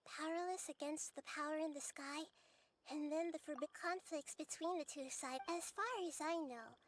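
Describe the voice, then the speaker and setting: A woman playing a wise, gentle, childlike deity in a video game, thoughtful and reassuring as she explains. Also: high-pitched, normal speed, slight background noise, natural voice. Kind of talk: scripted video game dialogue. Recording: music clearly behind the voice.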